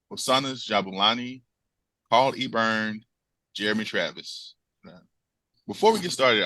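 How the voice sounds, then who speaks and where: A man hosts a radio panel, talking in a measured, steady way. His voice sits very low but has a bright, forceful edge. The sound is dry and close. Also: no echo, poor audio. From Mississippi, US South. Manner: measured, with frequent fillers. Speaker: radio show moderator. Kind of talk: hosting a panel discussion.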